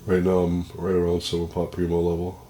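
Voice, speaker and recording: Very deep-voiced man reviewing hot peppers. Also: completely flat delivery, mellow, slight background noise. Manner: halting, describing the burn as it builds. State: runny, blocked nose from hot peppers. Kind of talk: casual vlog commentary. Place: indoors at home. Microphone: plugged-in microphone.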